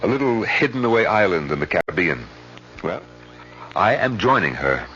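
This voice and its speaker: A man's voice: fairly low pitch, sing-song, normal speed, pushed and bright, soft background music. An actor playing a confident, brusque husband in his sixties who also narrates an old-time radio drama. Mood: breezy, assured. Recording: slightly noisy, muffled old radio recording.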